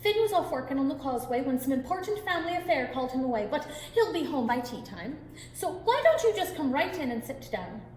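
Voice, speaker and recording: A woman's voice: sits high, big pitch swings, brisk. A woman voicing a sly giant's wife who talks sweetly and coaxingly, heard in a reverberant hall. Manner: sugary, coaxing. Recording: roomy hall with long reverb.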